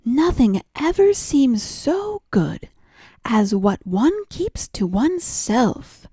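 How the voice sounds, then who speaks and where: A woman's voice: on the high side, sing-song, takes it slowly, warm tone, slightly rough, no room sound, heavily acted. A woman voicing a sly, greedy female cat in a storybook, with a smug, coaxing manner.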